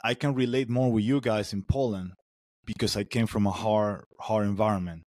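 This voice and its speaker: A man from Venezuela hosting a firefighter podcast, easygoing and quick to laugh, often affirming with "yeah". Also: lowish voice, fairly even intonation, darkish tone, dry recording, clean studio sound. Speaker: podcast host. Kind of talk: casual podcast interview.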